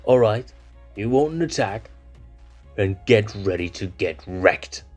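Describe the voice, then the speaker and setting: A man's voice: fairly low pitch, animated, slow, darkish tone, soft background music. A man doing a taunting bully lion cub. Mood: threatening. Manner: taunting, mocking. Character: cocky bullying young male lion cub.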